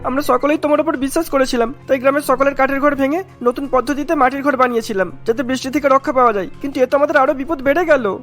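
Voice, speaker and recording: A woman's voice: sits high, fairly even intonation, quick pace, few pauses, close to an everyday voice. A woman voicing an urgent, insistent cartoon villager. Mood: complaining. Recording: music behind the voice.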